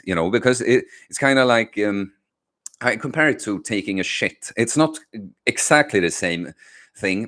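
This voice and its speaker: A man's voice: on the low side, fairly bright tone. A young man, a non-native English speaker whose first language is Swedish, hosting a casual livestream and answering questions from the chat, with many fillers and restarts.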